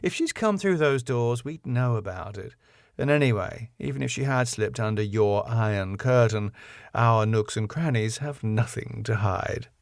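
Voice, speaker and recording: A man's voice: mid-range voice, normal speed, creaky, no room sound, lightly acted. A long-suffering sitcom wife, acted out by a man, dry and exasperated. She scolds sardonically, in a very dry, close recording. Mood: weary, sardonic.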